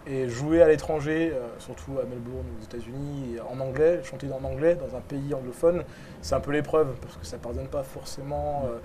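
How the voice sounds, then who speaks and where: A man answering interview questions on a live talk show, halting and repeating words as he thinks. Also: medium pitch, fairly even intonation, crowd noise. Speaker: musician guest. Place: live event on a boat.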